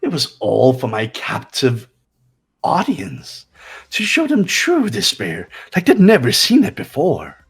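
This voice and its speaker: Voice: mid-range voice, huge pitch swings, slow, breathy edge, faint music behind, heavily acted. A performer voicing a gleeful, sadistic mascot bear in a fan-voiced video game, taunting and theatrical. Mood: boastful, theatrical.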